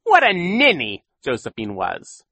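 A man's voice: fairly high pitch, wildly swooping pitch, a little slow, creaky, sharp tone, slightly rough, no room sound, pitched above the performer's own voice, exaggerated voice. A man voicing a boastful, cruel villainous con man in an audiobook, with a growling, mocking, exaggerated delivery. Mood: gloating, mocking. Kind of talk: audiobook character voice.

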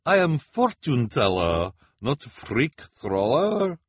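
A man's voice: medium pitch, very animated, slow, breathy edge, dry recording, voice pushed up, exaggerated voice. A man voicing a nervous carnival fortune-teller woman in a storybook, speaking broken English. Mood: nervous protest. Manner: broken English with dropped articles, anxious.

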